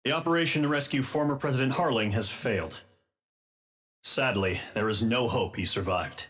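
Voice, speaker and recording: A man playing a stern air force commander giving a formal, measured briefing in a game. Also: medium pitch, a bit bright, natural voice. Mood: grave. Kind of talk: scripted military briefing. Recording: music behind the voice.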